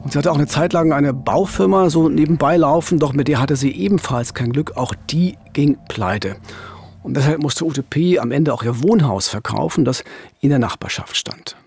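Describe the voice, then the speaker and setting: A man in his fifties with a husky, slightly rough voice reports a true-crime case. He tells it as scripted dialogue, recorded very dry in a studio. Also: a little high, normal speed, darkish tone, dry recording. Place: small dark studio. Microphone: studio boom microphone, headphones.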